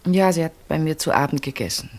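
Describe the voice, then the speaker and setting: A woman's voice: low voice, fast talker, a little mellow. An actress playing a dutiful, self-sacrificing unmarried woman in her thirties in a German radio play, speaking politely and with restraint. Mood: worried, hesitant. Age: 30s. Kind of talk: German radio play acting, intimate naturalistic dialogue.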